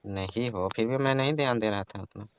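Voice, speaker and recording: A man's voice: fairly low pitch, fairly quick, a bit bright, a little hiss or hum. A man playing a hesitant young man who teases and dodges casually in a staged phone conversation. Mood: teasing, casual. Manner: teasing, evasive, casual. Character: teasing, hesitant young man courted by his female cousin. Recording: dull lo-fi call recording.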